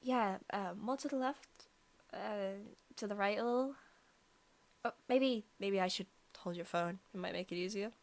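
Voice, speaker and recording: A young woman playing a possessive female vampire student in an intimate ASMR roleplay, softly spoken, partly whispered, coy and taunting. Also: mid-range voice, natural voice. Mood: casual, distracted. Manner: soft, slow, coy talk sliding into taunting. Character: female student vampire, possessive, sweet-talking, menacing predator.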